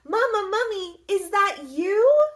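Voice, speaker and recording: A woman voicing a small baby mummy boy in a storybook, calling in a high, pleading little voice. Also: expressive, slow, exaggerated voice.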